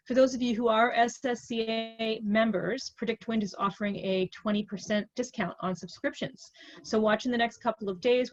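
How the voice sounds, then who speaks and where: A woman's voice: medium pitch, dull audio. A woman hosting and introducing a webinar over a video call, making announcements in a chatty way with frequent ums and uhs. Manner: chatty, filler-heavy, friendly announcements. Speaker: webinar host.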